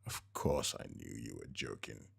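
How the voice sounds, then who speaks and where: A man playing a devoted middle-aged husband in a story, speaking gently and earnestly. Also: rumbling low, expressive, a little slow, gravelly, slightly rough, voice pushed down, exaggerated voice. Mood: awkward, flustered. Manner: gentle, soft murmuring.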